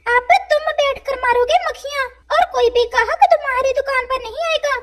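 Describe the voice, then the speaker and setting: A woman's voice: very high-pitched, fairly even intonation, normal speed, voice pushed up. A woman voicing a kindly, generous male parrot shopkeeper in a cartoon, emphatic and neighborly. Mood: defiant.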